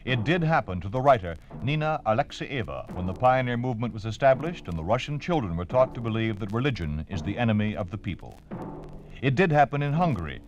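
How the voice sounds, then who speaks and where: An American male radio announcer with a mid-pitched, animated delivery. He is solemn, formal and emphatic in vintage 1950s style, with music behind him. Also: fast talker, highs cut off. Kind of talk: 1950s radio announcing, scripted dramatic narration.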